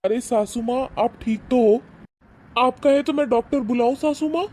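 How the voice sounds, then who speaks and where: A man playing a smug, boastful, wealthy son-in-law in an animated moral story, with a patronising, sneering manner. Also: very high-pitched, moderate pace. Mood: smugly mocking.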